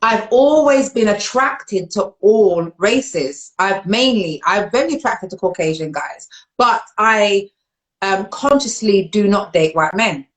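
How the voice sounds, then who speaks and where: Lively London woman hosting a live chat. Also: slow pace, rough recording. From London, England. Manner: animated, laughs often, jumps up in pitch when excited. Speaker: host. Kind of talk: casual live-stream chat.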